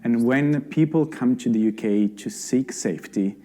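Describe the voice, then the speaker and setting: A man giving measured, explanatory scripted narration for a documentary voice-over. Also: mid-range voice, warm tone. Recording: faint music bed underneath.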